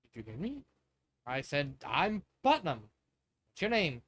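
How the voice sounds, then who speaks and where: A man's voice: on the high side, huge pitch swings, unhurried, fairly bright tone, heavily acted. Man voicing an earnest little crystal boy prince. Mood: tentative, curious. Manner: friendly, tentative, earnest. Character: polite, curious six-year-old underworld prince, a crystal creature.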